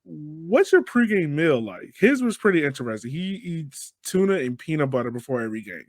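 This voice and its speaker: A man's voice: a little high, sing-song, normal speed, no echo. A man hosting a podcast, asking questions in a casual, conversational style. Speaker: podcast host interviewer.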